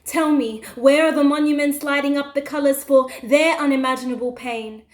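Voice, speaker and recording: Very high-pitched woman reciting spoken word. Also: fairly even intonation. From Western Australia. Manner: impassioned, accusatory, deliberate dramatic delivery.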